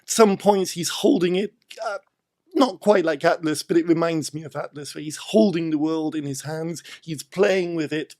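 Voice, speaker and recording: British man with a higher-pitched voice. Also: normal speed, a little mellow. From Britain. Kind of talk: casual film discussion.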